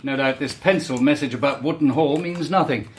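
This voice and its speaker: An actor playing a brisk, commanding Victorian police inspector in a radio drama, crisp and authoritative. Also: male voice, mid-range voice, slight background noise, close to an everyday voice.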